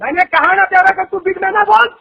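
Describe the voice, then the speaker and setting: A man's voice: very high-pitched, brisk, creaky. A man speaking as a police officer on a phone call, bossy and abrupt, talking down to the caller with crude insults, recorded from a dull phone line with faint noise. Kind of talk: heated phone argument.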